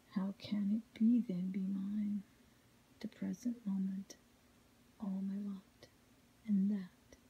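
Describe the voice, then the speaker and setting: A woman softly reading poetry in a breathy whisper, ASMR style, with a measured, melodic recitation. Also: medium pitch, slight background noise, low-quality recording. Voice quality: soft unvoiced whisper, breathy.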